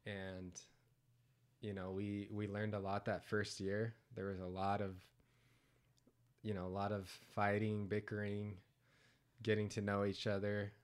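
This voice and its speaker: Slow, low-voiced congested man. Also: completely flat delivery, clear, resonant tone. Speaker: podcast guest. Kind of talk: casual chat.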